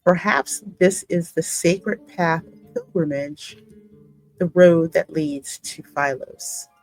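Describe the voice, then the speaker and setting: Woman game narrator, low-leaning pitch, measured slow reading, reactive asides, soft music underneath. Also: sing-song, gravelly, no echo, low-quality recording. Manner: reads narration slowly, reacting aloud in asides. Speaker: gaming channel host. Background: music behind the voice.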